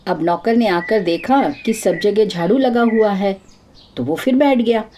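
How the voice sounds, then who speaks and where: A woman's voice: medium pitch, unhurried, mellow, slight background noise. A woman storyteller narrating a scripted moral folk tale.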